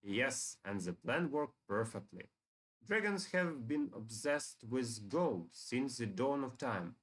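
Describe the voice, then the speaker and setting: A man's voice: medium pitch, big pitch swings, slow pace, fairly bright tone, exaggerated voice. A man voicing a scheming, taunting necromancer villain from a fantasy game, with grandiose phrasing. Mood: gloating.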